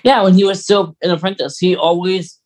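A man with a voice pitched a little high chats as a podcast co-host. He muses out loud in a casual, tentative way, working through fan lore as he speaks. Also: fairly even intonation, a little fast.

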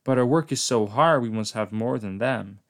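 A man reading aloud as an eager little cat helper, quickly listing off the other cats. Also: mid-range voice, close to an everyday voice.

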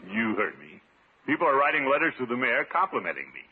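An actor playing a pompous, excitable middle-aged water commissioner in a 1950s radio comedy, with a blustering manner. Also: male voice, mid-range voice, quick pace, natural voice. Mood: smug. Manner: blustering, hammy, swooping delivery. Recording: muffled 1950s radio recording, background hiss.

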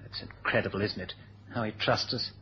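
An actor playing a polite, anxious, questioning colleague of a secret agent in a 1970s radio drama. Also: male voice, on the low side, steady tone, fairly quick, natural voice. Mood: concerned, businesslike. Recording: muffled, noisy 1970s radio recording.

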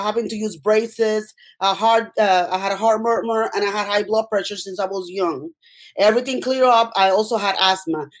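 A middle-aged woman with a Puerto Rican accent and Spanish as her first language, telling personal stories as an interview guest and often checking in with "okay?". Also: a little high. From Puerto Rico. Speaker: interview guest, healthcare worker.

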